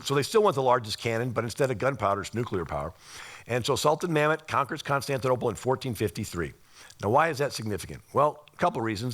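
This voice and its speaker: Slightly raspy male history lecturer. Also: mid-range voice, a bit bright. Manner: rhetorical questions, explanatory.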